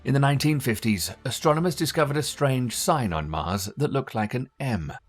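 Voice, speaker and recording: A man reading scripted documentary narration in a measured, formal way. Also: mid-range voice, expressive, faint music behind.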